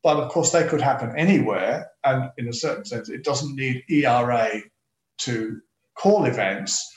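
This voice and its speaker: An older man speaking as an interview guest, measured and reflective. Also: mid-range voice. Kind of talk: casual interview. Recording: echoey room.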